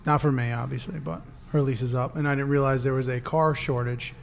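A man's voice: mid-range voice, fairly even intonation, brisk, gravelly, a little hiss or hum. A married man chatting easily on a podcast, rambling and filling his talk with "like". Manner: easygoing, rambling, says "like" a lot. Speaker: podcast co-host. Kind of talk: casual podcast chat.

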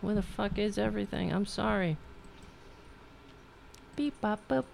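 A woman's voice: mid-range voice, measured pace, vocal fry, darkish tone. A woman with a New York accent from Staten Island, hosting a political talk show in a rambling, profane monologue full of restarts. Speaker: podcast host.

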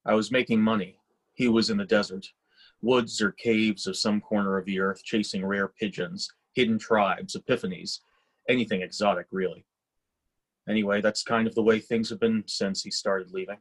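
An actor playing a detached, resentful grown son in a readers theatre drama. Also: male voice, on the low side, steady tone, normal speed, husky. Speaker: actor in a staged reading. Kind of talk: dramatic inner monologue and dialogue.

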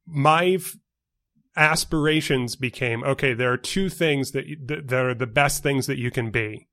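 Podcast co-host, young man from New York, precise and measured with lots of fillers, mid-pitched voice. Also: sharp tone. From New York City. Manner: measured, precise wording with many fillers and restarts. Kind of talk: casual chat.

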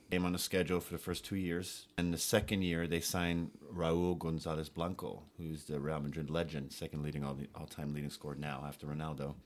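A man, telling his life story casually as a podcast guest. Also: low voice. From Geneva, Switzerland and United States.

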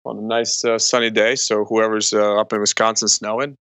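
A man's voice: on the low side, flat delivery, a little fast, a bit bright, no echo. A man in his forties from Croatia, talking conversationally about himself as an interview guest. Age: 40s. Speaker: podcast guest, entrepreneur and speaker. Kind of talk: conversational interview, personal storytelling.

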